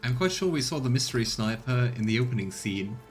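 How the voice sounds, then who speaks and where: A man's voice: medium pitch, lively intonation, fairly quick, faint music behind. A man streaming a let's play, giving casual commentary and reading game narration aloud.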